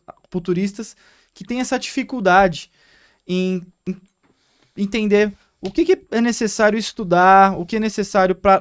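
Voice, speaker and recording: High-voiced Brazilian man explaining eagerly. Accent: Brazilian Portuguese. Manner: explanatory, animated, asks listeners to agree. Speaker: podcast co-host, acupuncturist. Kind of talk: casual podcast chat.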